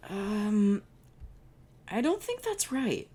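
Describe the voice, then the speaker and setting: A woman's voice: medium pitch, big pitch swings, very slow, warm tone, slightly rough. A woman hosting her channel, reading fan fiction aloud and breaking off for sarcastic, profane asides. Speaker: channel host.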